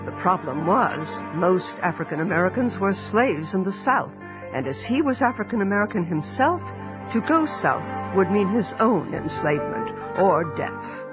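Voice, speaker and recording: Measured drama narrator over music. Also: medium pitch, very animated. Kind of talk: scripted storytelling narration. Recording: dull lo-fi audio under clear music.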